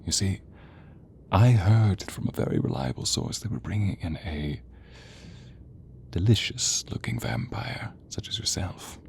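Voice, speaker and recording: A man performs a theatrical, sadistic male sorcerer for an audio roleplay. The voice is low and swings widely between silky menace and breathy whispers. Words are drawn out slowly as he addresses the listener directly. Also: lively intonation, slight background noise, exaggerated voice. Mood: smug, menacing.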